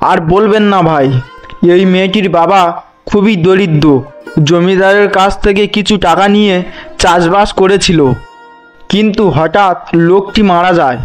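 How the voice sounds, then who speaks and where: A man's voice: high voice, fairly even intonation, normal speed, clean tone, faint music behind, natural voice. A man voicing a sympathetic village bystander in a cartoon, confiding and explanatory. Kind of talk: Bengali cartoon voice acting.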